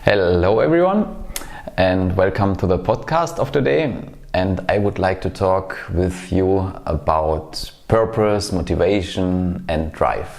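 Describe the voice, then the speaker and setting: A man with a deep voice hosts a conversational podcast interview. He phrases his questions in a measured, deliberate way. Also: lively intonation, gravelly, darkish tone.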